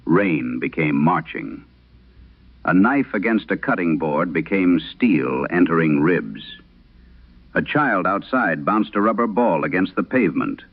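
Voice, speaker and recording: Guy doing an old priest exorcist in a horror radio play, grave and haunted, gravelly, swings up and down a lot. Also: rumbling low, narrow, tinny audio, exaggerated voice. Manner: grave, measured, solemn. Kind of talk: dramatic first-person horror radio storytelling.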